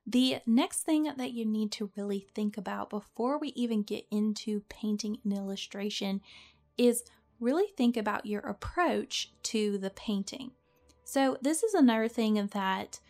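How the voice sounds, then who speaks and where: A young woman with a slightly nasal, stuffy voice from a cold, giving a friendly, chatty watercolor tutorial as a voice-over. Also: medium pitch. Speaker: watercolor art teacher. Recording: voice-over with soft background music.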